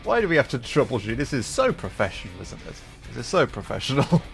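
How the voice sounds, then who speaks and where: A man hosts a livestream in a casual, chatty style. His voice sits at an average male pitch, with a wry and self-deprecating delivery. Music plays in the background as he talks. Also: big pitch swings, fast talker, creaky. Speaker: livestream host, gamer.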